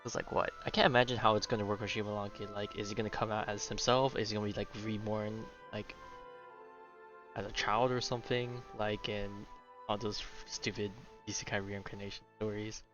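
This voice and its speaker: A male streamer chats casually over a game in a fairly low voice. He rambles and muses aloud. The mic sounds a little dull, and game music plays clearly behind him. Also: flat delivery, fairly quick, fairly bright tone. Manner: rambling, musing. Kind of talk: casual live gameplay commentary. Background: video game music.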